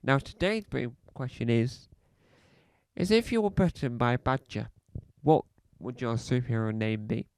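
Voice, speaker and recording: Man plays a pompous podcaster with a cough, halting and repetitive, dry close mic. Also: medium pitch, a little mellow, dry recording, natural voice. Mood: rambling. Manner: rambling, halting, fond of repeating phrases. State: slight cough. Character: self-important male celebrity podcaster, rambling. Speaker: comedy podcast host character. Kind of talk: scripted comedy monologue.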